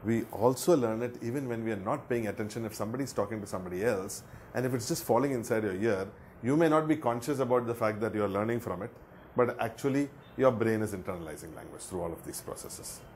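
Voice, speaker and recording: A man's voice: on the low side, quick pace, darkish tone, slight background noise. Earnest man explaining a method. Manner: earnest, repeats words for emphasis. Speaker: education presenter. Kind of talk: explanatory talk.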